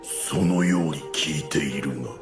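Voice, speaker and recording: A man's voice: a bass voice, moderate pace. A man playing a stern, stiffly formal warrior in an anime audio drama. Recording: clear music behind the voice.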